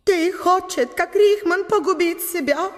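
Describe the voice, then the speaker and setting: Shrill, echoing German wife, nagging. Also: female voice, measured pace. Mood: shrill reproach. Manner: shrill, wailing, ungrammatical nagging. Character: scolding German wife with broken Russian, as her husband imagines her. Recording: heavy reverb, imagined-voice effect.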